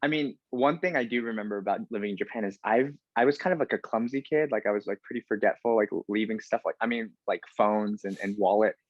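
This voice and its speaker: Low-voiced man, guest on a podcast. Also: fast, few pauses, no echo, dull audio. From United States. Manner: chatty, many fillers and restarts. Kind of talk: casual interview conversation.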